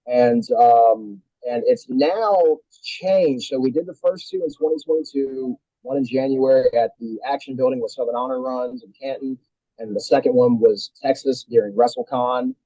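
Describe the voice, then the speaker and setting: A man who works as a wrestling commentator, chatting as a guest in quick, enthusiastic bursts. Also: medium pitch, mellow, no room sound, highs cut off. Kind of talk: casual chat.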